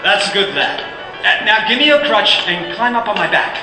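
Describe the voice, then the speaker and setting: An actor playing a humble, meek clerk and loving father in a radio play, soft-spoken and deferential. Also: sits high, animated, normal speed, music in the background. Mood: fond. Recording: echoey hall with faint hiss.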